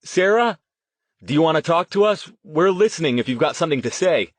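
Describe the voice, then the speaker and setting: A man's voice: lowish voice, big pitch swings, brisk, no echo. A man voicing a vain, hot-tempered ghost-show host with a projected, theatrical delivery, in an audiobook. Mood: theatrical, calling out.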